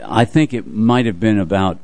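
Older man, low voice, dry close sound. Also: moderate pace, darkish tone, no echo. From United States, New Jersey area. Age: 80s. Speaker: radio music show host. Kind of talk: casual reminiscing radio talk. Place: radio studio.

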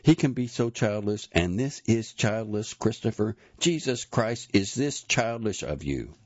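A man voicing a furious, exasperated young mother who scolds her husband loudly and emphatically in an audiobook story. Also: sits low, steady tone, a little fast, husky, no room sound, lo-fi sound. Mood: scolding.

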